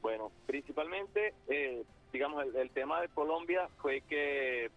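A man's voice: fairly high pitch, measured pace, creaky. Venezuelan guy from zulia calling in, muffled phone line, lots of um and eh, faint music under it. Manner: hesitant, full of fillers. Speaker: guest muralist. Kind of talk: phone interview.

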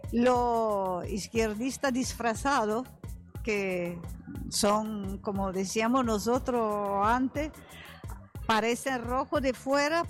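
An older woman with an Italian accent gives a radio interview as a guest. Her voice sits fairly high and she speaks very slowly, searching for words in a language that is not her first. Music plays softly under her voice. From Italy. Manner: halting non-native phrasing, searching for words. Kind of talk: political conversation in a radio interview.

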